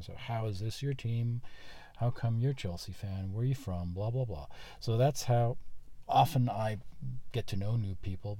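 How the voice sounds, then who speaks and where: A man's voice: fairly low pitch, mellow. A male podcast host whose first language is German, chatting casually and telling a story with self-deprecating asides. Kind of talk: conversational podcast interview.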